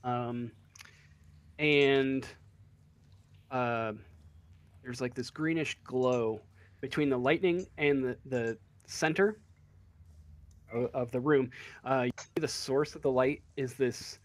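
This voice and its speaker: Male game master, mid-range voice, unhurried and halting, improvising scene narration casually at the table. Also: mid-range voice, dry recording. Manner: halting, searching for words, casual.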